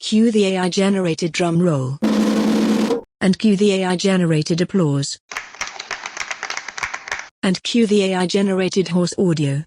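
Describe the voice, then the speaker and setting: A woman's voice: lowish voice, measured pace, long pauses, noisy background, close to an everyday voice. A woman playing a self-promoting, cheerfully smug AI who presents her own podcast in a scripted comedic monologue. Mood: proud, excited. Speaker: podcast creator and host.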